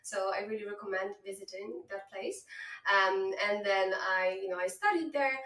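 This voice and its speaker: A woman's voice: medium pitch, normal speed, fairly bright tone, echoey room, recorded from a distance, poor audio. A woman in her thirties from Poland with a Polish accent, chatting enthusiastically as an interview guest. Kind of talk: casual interview chat.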